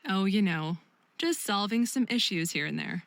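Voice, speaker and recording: A woman's voice: medium pitch, expressive, moderate pace, no room sound, natural voice. A woman playing a caring, protective young best friend in a visual novel game, earnest and emotive. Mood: reassuring.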